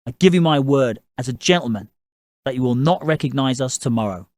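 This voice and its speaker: A man's voice: medium pitch, lively intonation, brisk, a little mellow, dry recording, clean studio sound, close to an everyday voice. A man voicing an assertive, polite family patriarch in audiobook dialogue, commanding and businesslike. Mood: confident, businesslike. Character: assertive gentlemanly father, a shrewd, moneyed family patriarch.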